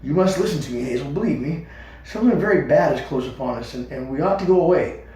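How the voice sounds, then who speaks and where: A man's voice: a little high, expressive, quick pace, warm tone, close to an everyday voice. A man voicing a small, nervous young male rabbit seer who pleads and stammers. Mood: frightened, urgent. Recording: echoey room, far from mic.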